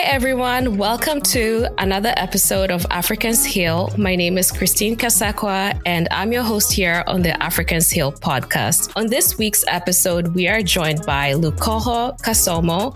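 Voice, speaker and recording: A woman's voice: mid-range voice, fairly bright tone. A woman hosting a podcast, giving scripted introductions and interviewing a guest.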